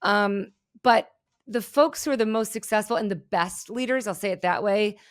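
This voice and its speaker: A woman's voice: mid-range voice, moderate pace. A middle-aged woman from Germany, a native German speaker, telling her story with energy and candor as a podcast guest. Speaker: guest, keynote speaker and entrepreneur. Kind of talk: podcast interview.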